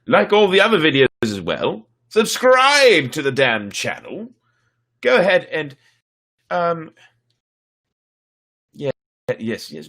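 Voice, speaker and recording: A man's voice: mid-range voice, a bit bright. A man hosting a livestreamed story show, chatting casually to viewers in a playful, theatrical, rambling way.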